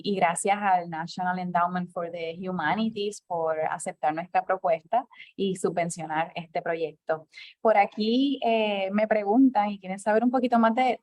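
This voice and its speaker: A woman moderating a question session at an archive, speaking in a measured, formal way. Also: fairly low pitch, darkish tone, no echo. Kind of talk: moderating a lecture Q&A.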